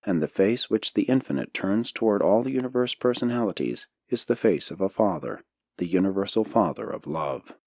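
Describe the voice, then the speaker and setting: A man narrating a spiritual audiobook in a solemn, measured way. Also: fairly low pitch, lively intonation, gravelly, a little mellow, no echo. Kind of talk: scripted narration of a spiritual text.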